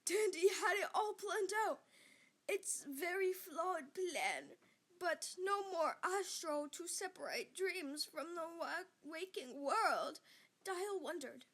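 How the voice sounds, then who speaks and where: A woman's voice: very high voice, a bit bright. A young woman reading scripted narration for an amateur comic dub. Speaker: amateur voice-over reader.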